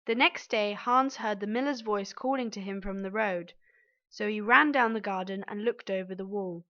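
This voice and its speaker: Female storyteller, scripted narration, mid-pitched voice, dull band-limited recording. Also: normal speed, bright, forceful tone.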